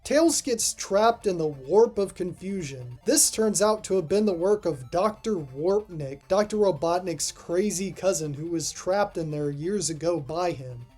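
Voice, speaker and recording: Music plays behind a mid-pitched host recording a scripted review voice-over. The delivery is casual and dry, with offhand asides, like someone working through a ranked list of cartoon episodes. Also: expressive, unhurried, few pauses, mellow, breathy edge.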